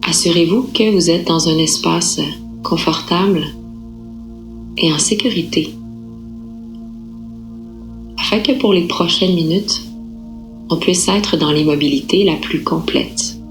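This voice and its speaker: A woman yoga nidra instructor guiding a relaxation meditation in a calm, unhurried, soothing manner with gentle pauses. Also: lowish voice, faint music behind.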